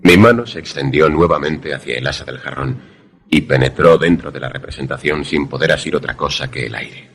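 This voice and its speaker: Male student-narrator for suspense radio drama, man acting: very low, husky, formal and deferential, measured literary delivery. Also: a bit bright, heavily acted. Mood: measured, suspenseful.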